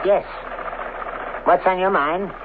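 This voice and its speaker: A man's voice: high voice, steady tone, takes it slowly. A man acting a polite security police officer in an old-fashioned radio drama, formal and reassuring. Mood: courteous, attentive. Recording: tinny, band-limited, noisy radio broadcast recording.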